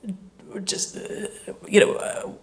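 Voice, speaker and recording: A woman speaking on a panel, explaining in detail and thoughtfully, with some hesitant phrasing. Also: takes it slowly. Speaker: panelist, audio drama sound designer. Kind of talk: panel talk. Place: live event panel before an audience.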